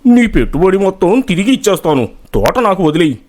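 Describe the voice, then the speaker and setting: Firm village landowner played by a male actor, slightly high male voice, lively pitch, moral-story dialogue. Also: quick pace. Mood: stubborn, greedy. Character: village men: stubborn landowner or authoritative elder.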